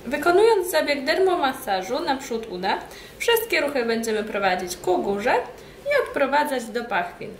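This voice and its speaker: A woman's voice: high voice, moderate pace. A woman instructor narrating a hands-on treatment demonstration step by step. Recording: distant, echoey room with loud steady noise.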